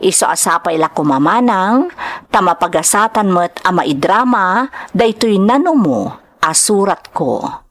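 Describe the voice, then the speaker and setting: A woman's voice: medium pitch, animated, unhurried. A woman with an Ilocano accent hosting a drama programme, reading out greetings and a listener's letter. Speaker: host and drama narrator.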